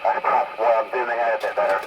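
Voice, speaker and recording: A man's voice: fairly low pitch, fairly even intonation, fast. A man chatting casually over CB radio. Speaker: amateur CB radio operator. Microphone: power mic on CB radio. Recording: muffled CB radio with loud static.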